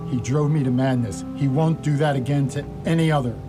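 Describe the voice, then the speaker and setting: A man's voice: mid-range voice, steady tone, brisk, music in the background, natural voice. A man playing a haunted, jittery survivor of terror, speaking hesitantly in a radio drama. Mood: shaken. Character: nervous, haunted young Englishman, survivor of vampire terror.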